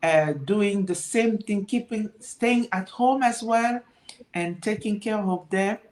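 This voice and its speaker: A woman speaks over a video call. She tells her story in a reflective way and leans on "you know" between thoughts. Her voice sits at a middling pitch. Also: slow pace, darkish tone. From Democratic Republic of Congo. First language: French. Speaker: guest entrepreneur. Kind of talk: casual interview storytelling.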